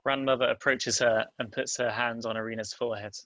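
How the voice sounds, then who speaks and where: A man reads out script directions as narrator. His voice is mid-pitched, bright and forward, recorded dry with almost no room sound. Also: steady tone, fairly quick, no room sound. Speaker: narrator reading stage directions. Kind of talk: scripted narration at table read.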